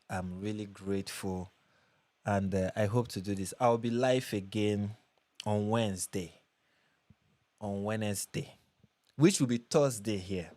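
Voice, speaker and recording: A man's voice: lowish voice, dark tone, no echo. A young Nigerian man hosting a casual livestream, reading viewer comments and chatting with restarts and repeats. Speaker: livestream host.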